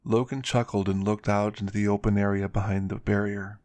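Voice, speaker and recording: A man's voice: fairly low pitch, flat delivery, brisk, darkish tone, no room sound. A man narrating a scripted story in an ASMR style.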